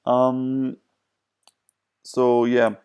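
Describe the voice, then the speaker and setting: A man who is not a native English speaker gives unscripted personal advice in a hesitant, halting way, pausing and repeating words. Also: medium pitch, monotone, a little mellow, smooth. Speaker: video presenter sharing personal advice. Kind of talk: unscripted advice talk to camera.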